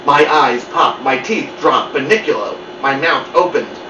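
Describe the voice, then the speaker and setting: A man reading a children's book aloud in an animated storytelling style. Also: medium pitch, measured pace, noisy background. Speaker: story-time reader. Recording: muffled, roomy, distant mic.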